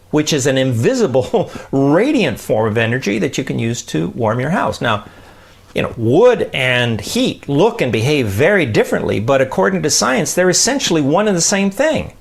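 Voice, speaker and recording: Animated man teaching with gravelly creak. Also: a little high, moderate pace. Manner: emphatic, with rhetorical check-ins like "right?" and "okay?". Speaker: podcast host explaining science and faith. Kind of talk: conversational teaching talk.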